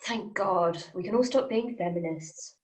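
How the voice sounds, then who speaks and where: A woman reading a sardonic, sharp-tongued new journalist in an amateur script read. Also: mid-range voice, a little slow, lightly acted.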